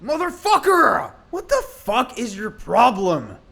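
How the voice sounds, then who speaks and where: An angry teenage boy, played by a man, high-pitched and gravelly. He is bitter and exasperated, with animated swings in his delivery, as a character in an audio drama. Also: slow, background noise, full character voice. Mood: furious, self-loathing.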